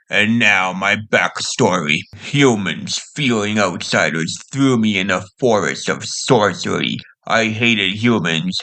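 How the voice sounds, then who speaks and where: A menacing villain acted by a man from an abridged anime parody. His voice sits fairly low, and he boasts like an arrogant sorcerer champion turned monster. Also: sharp tone, rough recording. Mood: grandiose. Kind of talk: fan abridged anime dub.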